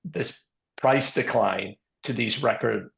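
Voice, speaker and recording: Male podcast host, hoarse and gravelly, middle pitch, loose rambling delivery full of ums. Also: slow, a bit bright. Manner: rambling, heavy with ums and uhs. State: hoarse, scratchy voice. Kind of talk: casual interview talk.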